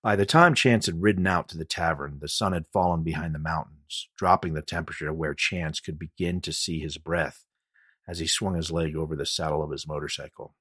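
A man's voice: very deep voice, a little fast, a bit bright, dry recording, studio quality. A man reading a scripted mystery audiobook as its author and narrator.

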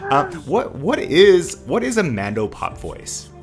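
Male podcast host, animated intonation, light music behind. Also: a little high, unhurried. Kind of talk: interview questions.

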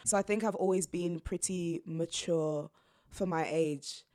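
Low-voiced young woman, thoughtful guest. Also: fairly even intonation, measured pace, mellow. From born in Zambia, raised in England. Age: young adult. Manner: thoughtful, earnest, says "like" often. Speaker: podcast guest. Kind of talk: casual reflective interview.

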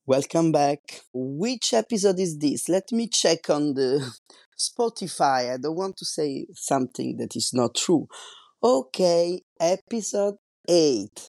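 A man in his forties from Italy, with an Italian accent, hosting a solo podcast in English, his second language, slowly and carefully hunting for words. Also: a little high, lively intonation, vocal fry, a little mellow, no echo, clean studio sound. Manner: slow, careful, searching for words. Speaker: podcast host.

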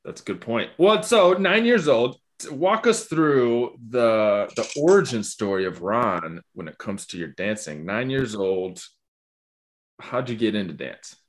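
Low-voiced chatty podcast host. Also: male voice, lively intonation, unhurried. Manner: chatty, musing, with fillers. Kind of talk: casual interview.

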